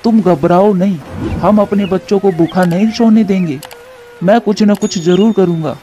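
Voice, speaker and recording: A man plays a devoted cartoon father pigeon, high for a male voice yet mellow. He is earnest, protective and determined. The sound is dull and lo-fi, with music behind. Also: normal speed, natural voice. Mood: reassuring. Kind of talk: Hindi moral cartoon dubbing.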